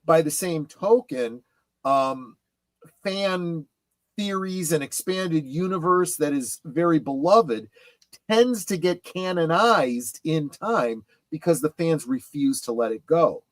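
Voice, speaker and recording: A man giving opinions in a casual panel chat, emphatic and deliberate, halting between phrases. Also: a little high, animated, dry recording. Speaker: guest co-panelist on a fan show.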